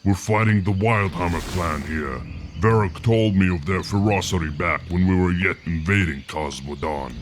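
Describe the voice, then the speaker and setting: A man playing a stern, commanding orc war chief in a fantasy game, speaking with measured authority. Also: low-pitched, slight background noise, natural voice. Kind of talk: fantasy game cutscene acting.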